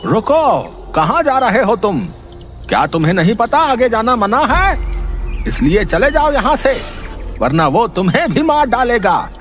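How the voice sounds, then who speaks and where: A cartoon's mad old man barks and stretches his warnings slowly, shrill and furious. The voice sits high for a man. Soft background music plays behind him. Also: big pitch swings, heavily acted. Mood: angry warning. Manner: shouting threats, drawn-out slow warnings. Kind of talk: cartoon storybook voice acting.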